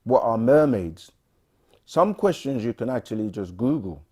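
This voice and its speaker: A man co-hosting a casual livestream, reading viewer questions aloud and answering them with frequent pauses and fillers. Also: medium pitch, husky. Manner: relaxed, frequent "um" and restarts, reads questions aloud.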